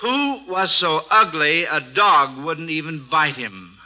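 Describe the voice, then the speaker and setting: American guy hosting an old 1940s radio quiz, high bright voice, encouraging, dull vintage recording. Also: expressive, slow pace. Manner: encouraging, praising. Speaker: quiz show host.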